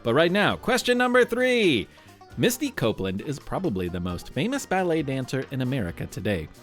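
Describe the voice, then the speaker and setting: A man's voice: medium pitch, wildly swooping pitch, brisk, faint music behind. A man hosting a children's show, narrating from a script in a playful, theatrical way with wry asides. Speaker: podcast host.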